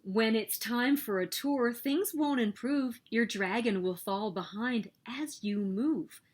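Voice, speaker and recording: A woman reading a children's picture book aloud as story host, with expressive, emphatic delivery. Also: on the high side, takes it slowly. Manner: expressive, emphatic storytelling with sing-song refrains.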